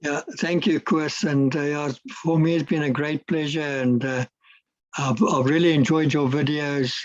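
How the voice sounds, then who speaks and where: Slow, hesitant older South African man. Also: a little high, fairly even intonation, dry recording, highs cut off. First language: Afrikaans. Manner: hesitant, many fillers and restarts. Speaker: guest, retired air force officer. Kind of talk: casual interview talk.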